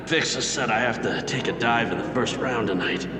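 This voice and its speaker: A man's voice: mid-range voice, a little slow, music in the background, close to an everyday voice. A man playing a weary middle-aged boxer dad. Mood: resolved. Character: aging boxer father, weary and proud. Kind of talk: vintage comic read-along record acting.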